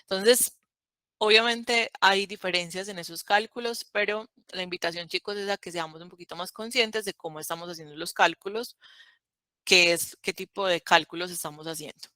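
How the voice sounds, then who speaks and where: A woman's voice: on the low side, steady tone, moderate pace, bright, forceful tone, dry recording. Chatty woman teaching math. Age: 30s. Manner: conversational, checks with "¿cierto?". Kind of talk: online class lecture.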